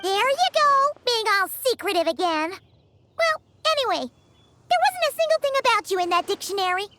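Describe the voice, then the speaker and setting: A woman voicing a tiny, excitable, childlike fairy girl companion in a video game, with a squeaky, blunt, nosy manner. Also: normal speed, heavy background noise. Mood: nosy, pouty. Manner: squeaky, blunt, nosy, third-person self-reference. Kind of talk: scripted game character dialogue. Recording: studio voice over prominent music.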